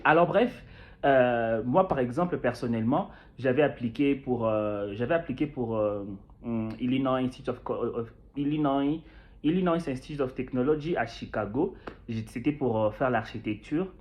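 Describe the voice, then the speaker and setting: A young Congolese man talks casually to his viewers, stumbling through restarts and filler sounds as he explains. His voice sits at a middling pitch for a man. The recording sounds dull and lo-fi. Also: moderate pace. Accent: Congolese French. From Kinshasa, DR Congo. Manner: hesitant, many fillers and restarts. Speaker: YouTuber sharing experience. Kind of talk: casual talk to camera.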